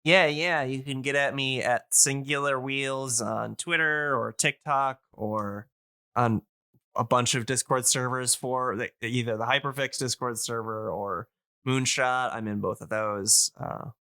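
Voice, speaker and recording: Bright, clear-voiced man chatting on a podcast. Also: medium pitch, steady tone, measured pace, no echo. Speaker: podcast guest and audio fiction podcaster. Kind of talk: casual, enthusiastic info-dumping podcast chat.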